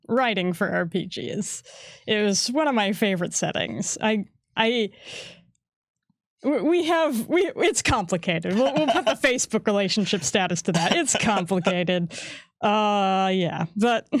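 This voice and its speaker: A woman's voice: mid-range voice, no room sound, studio quality. A woman who writes for tabletop games, chatting casually on a livestream, with frequent laughs and lots of "like". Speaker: game writer co-host.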